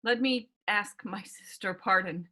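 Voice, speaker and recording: A woman's voice: mid-range voice, a little slow, sharp tone. A woman playing a desperate condemned young brother, pleading in a staged Shakespeare reading. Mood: resigned.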